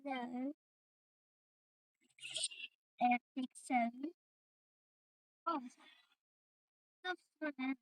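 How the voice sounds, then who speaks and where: A woman's voice: high-pitched, very slow, long pauses, no room sound, poor audio. A woman chatting casually as she reacts to a game.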